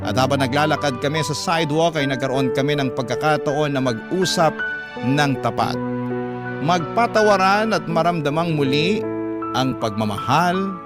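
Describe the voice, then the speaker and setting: Male radio storyteller over loud music. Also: fairly high pitch, expressive, moderate pace. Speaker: radio drama host and narrator. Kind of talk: scripted first-person story narration. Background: loud background music.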